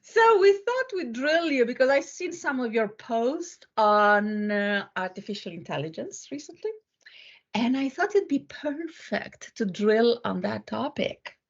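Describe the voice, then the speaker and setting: A woman's voice: fairly high pitch, expressive, a little slow, breathy edge, highs cut off. A woman hosting a conversational panel discussion and giving her own views. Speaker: interviewer, panel host.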